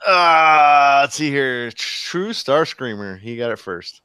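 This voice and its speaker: Smooth-voiced man asking quiz questions. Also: mid-range voice, lively intonation, slow pace, sharp tone, dry recording. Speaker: podcast co-host asking questions. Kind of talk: casual group chat.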